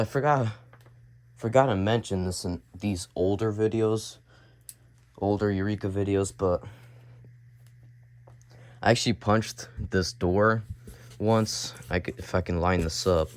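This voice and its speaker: A young man with a cold talks to the camera in a very low, raspy voice, coughing now and then. He rambles, repeats himself and restarts his sentences as he points things out in his basement. The sound comes from a handheld camera down in the basement. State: sick, coughing. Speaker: vlogger. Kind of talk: casual home vlog tour. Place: home basement, indoors.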